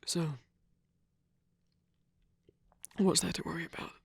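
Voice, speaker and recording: A performer voicing a proud, wounded villain who is secretly vulnerable, in a hesitant, breathy ASMR romance roleplay with frequent whispering. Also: mid-range voice, level tone, no room sound, clean studio sound. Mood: shaken, haunted. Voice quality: breathy, husky, often whispering. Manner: hesitant, halting, sighing.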